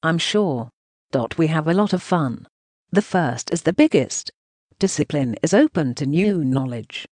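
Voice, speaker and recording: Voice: medium pitch, animated, measured pace, a little mellow, no echo. A synthetic text-to-speech voice reading translated news narration in a choppy way, speaking punctuation marks aloud.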